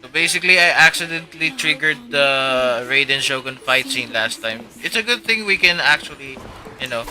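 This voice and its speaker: A man's voice: mid-range voice, lively intonation, normal speed, sharp tone, rough recording. A young man chatting casually on a live game stream with friends, slangy, rambling and jokey. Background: faint game music.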